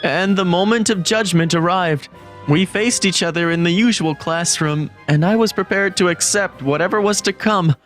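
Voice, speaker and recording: A man's voice: high-pitched, moderate pace, a bit bright. A man voicing a gloomy, introverted, easily panicked teenage schoolboy who narrates a manga story in a self-deprecating, dramatic way. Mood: resigned. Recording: music bed under the voice. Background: music.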